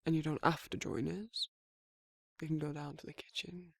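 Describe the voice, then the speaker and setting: A man playing a protective, affectionate werewolf boyfriend in an intimate ASMR roleplay, murmuring casually in a husky, breathy voice that often drops toward a whisper. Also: on the high side, level tone, moderate pace, dry recording, studio quality. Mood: teasing, affectionate. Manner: casual, colloquial, soft-spoken intimate murmur with soft chuckles.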